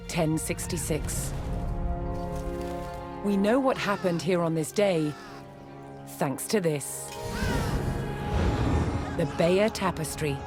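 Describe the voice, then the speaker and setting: A woman narrating a scripted history documentary in a measured, dramatic storytelling style. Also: lowish voice. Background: loud music behind the voice.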